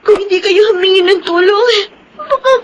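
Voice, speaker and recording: A woman's voice: very high-pitched, normal speed. A woman playing a distraught, respectful daughter in a Filipino radio drama, crying as she speaks with a Filipino accent. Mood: tearful, distraught.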